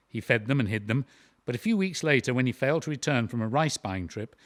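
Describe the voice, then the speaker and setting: A man's voice: medium pitch, dry recording. A man narrating a historical audio drama from a script, in documentary style.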